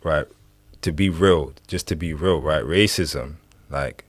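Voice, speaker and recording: Deep-voiced man from Uganda. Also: slow pace, no echo. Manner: emphatic, keeps checking with "right?". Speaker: podcast co-host. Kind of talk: discussion.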